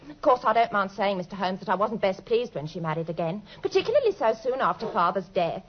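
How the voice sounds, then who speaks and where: An actress with an English accent playing an anxious, naive young typist in a radio drama, talking in an earnest, deferential, colloquial way. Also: female voice, mid-range voice, very animated, quick pace, a little hiss or hum, lightly acted. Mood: chatty, earnest. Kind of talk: classic radio drama acting. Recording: dull old radio recording.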